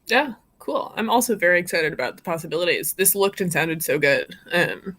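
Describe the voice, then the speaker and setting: A woman's voice: lowish voice, animated, fairly quick, a bit bright. A woman hosting an informal live stream discussion in a casual, conversational way.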